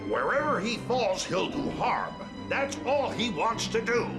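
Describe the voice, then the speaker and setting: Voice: medium pitch, very animated, a little slow, over loud music. An actor voicing a stern, suspicious little cloud in a cartoon, speaking assertively. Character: territorial, suspicious little rain cloud Nimbus. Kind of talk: cartoon voice acting.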